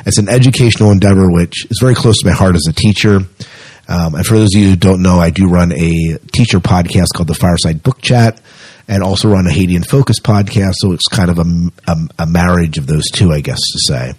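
A man hosting a podcast in a casual, conversational way, sometimes halting and repeating his words. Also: sits low, a little mellow, breathy edge, dry recording, poor audio. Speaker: podcast host.

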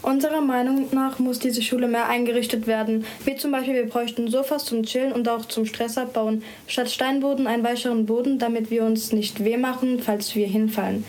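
Female teen reporter, scripted delivery, somewhat high voice. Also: fairly even intonation, a little fast, few pauses, a little mellow. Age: teenager. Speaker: student reporter.

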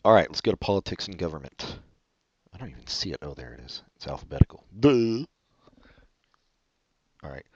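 A man hosting a casual comedy chat, reading online questions aloud and answering with deadpan sarcasm. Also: a little fast, pauses often, breathy edge, no echo. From California. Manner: sarcastic, deadpan jokes with sudden pitch jumps. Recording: dull, muffled lo-fi audio.

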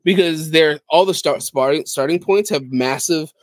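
A man's voice: fairly high pitch, normal speed, no echo. A man talking casually as a podcast co-host, explaining things with enthusiasm. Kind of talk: casual chat about video games.

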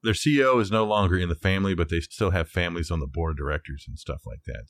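A man's voice: low voice, fast. A middle-aged man co-hosting a podcast, casually sharing facts in an informal, loosely structured way. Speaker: podcast co-host.

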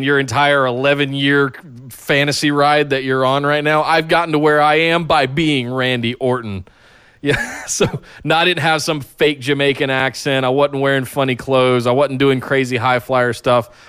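Male podcast co-host, mid pitch, smooth resonant voice, opinionated and rambling. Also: fairly even intonation, moderate pace, a bit bright. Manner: opinionated, rambling, frequent restarts. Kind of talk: casual wrestling podcast chat.

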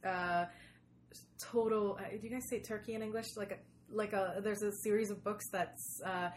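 Woman podcast guest, medium pitch, feels for words, casual, noisy behind her. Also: fairly even intonation, moderate pace. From Sweden. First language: Swedish. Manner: hesitant, searching for words. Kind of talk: casual interview chat. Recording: clearly noisy background ambience.

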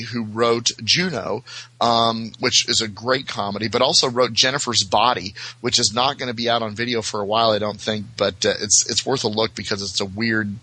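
A man's voice: medium pitch, bright, forceful tone, husky, dry recording, rough recording. A middle-aged American man chatting casually about TV shows in a rambling, hesitant way, full of filler words. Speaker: podcast guest, author.

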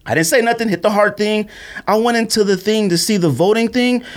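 A man's voice: high voice, a little fast. A man co-hosting a podcast, telling stories casually in slangy speech and acting out what people said. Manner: slangy, animated storytelling, acting out quotes. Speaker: podcast co-host. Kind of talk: casual podcast chat and storytelling.

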